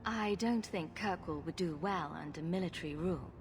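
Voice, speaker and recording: A woman's voice: on the low side, moderate pace, close to an everyday voice. A woman playing a dry, challenging human adventurer in a video game, with a wry, direct way of questioning. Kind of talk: video game cutscene acting.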